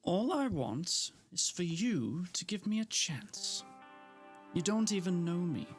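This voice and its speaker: A man voicing a young woman in love who pleads and bristles with indignation, pitching his voice up high. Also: sing-song, slow, faint music behind, heavily acted. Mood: indignant.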